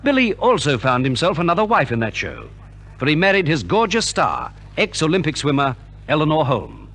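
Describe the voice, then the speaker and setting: A man's voice: on the high side, huge pitch swings, a little fast, a bit bright. A man from Australia narrating as a formal, theatrical compere in 1950s scripted radio. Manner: formal, theatrical announcer delivery. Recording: 1950s radio transcription recording.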